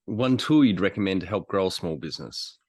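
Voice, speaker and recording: Deep-voiced podcast host. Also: male voice, animated, a little fast, a little mellow, dry recording, studio quality. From Australia. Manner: friendly, brief interjections. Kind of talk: podcast interview.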